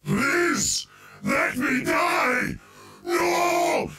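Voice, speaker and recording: A man's voice: very high voice, big pitch swings, drawn-out words, raised voice, exaggerated voice. A man voicing a tormented, weary undead giant in a video game, with anguished, dramatic delivery. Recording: music behind the voice.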